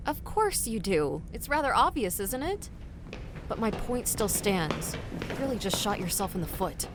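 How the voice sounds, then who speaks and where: A woman's voice: mid-range voice, big pitch swings, over music, lightly acted. A woman voicing a cold, smug spy leader who threatens her captors in an audio drama. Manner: smug, menacing, measured.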